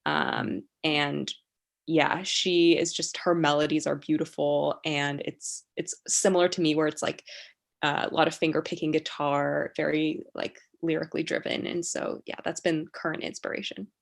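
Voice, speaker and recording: Young woman, American accent, low pitched with a fried, gravelly edge; halting and casual, heard over a video call. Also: little pitch movement. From China. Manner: hesitant, many ums, laughs easily. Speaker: musician guest.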